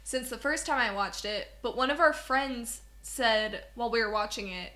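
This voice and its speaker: A young woman from Southwest Missouri co-hosts a podcast, talking casually and loosely with frequent laughs. Her voice is bright and sits a little high. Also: normal speed. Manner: chatty, rambling, laughs often. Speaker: podcast co-host.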